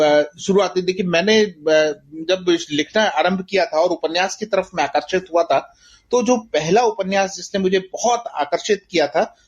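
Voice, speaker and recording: A middle-aged man with an Indian accent speaks in a high-pitched voice. He gives reflective interview answers as an author, earnest and rhetorical, repeating phrases for emphasis. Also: muffled recording. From Bastar, India. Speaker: guest author.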